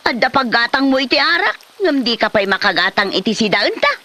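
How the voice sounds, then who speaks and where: A woman's voice: on the high side, big pitch swings, brisk, sharp tone. A woman playing an exasperated wife in a comedy drama, nagging and complaining at her husband. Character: exasperated, scolding wife of a lazy drinker. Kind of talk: Ilocano comedy drama acting.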